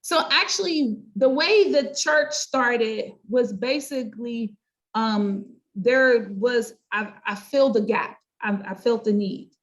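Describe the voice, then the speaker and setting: A woman's voice: a little high, slow. A middle-aged woman with an American accent, talking about her own work in an emphatic, chatty interview style. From United States. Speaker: guest: pastor, counselor and coach.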